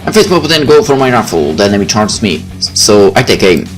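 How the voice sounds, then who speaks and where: A man doing a let's play, reading visual novel text aloud in a casual, expressive way. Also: on the low side, a little fast. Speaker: gaming YouTuber. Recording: game music behind the voice.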